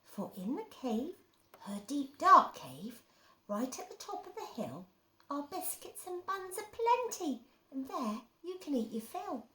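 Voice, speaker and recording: Plucky young storybook duck, played by a small boy, very lively swooping pitch, echoey room. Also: unhurried, exaggerated voice. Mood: persuading. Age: child. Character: plucky young female duck, pleading and crafty. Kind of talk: storybook read aloud.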